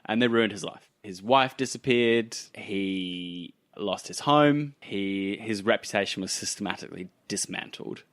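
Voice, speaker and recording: A man's voice: fairly low pitch, a little slow, few pauses, fairly bright tone, no echo. A man working as game master, narrating a tabletop roleplay scene in a casual style.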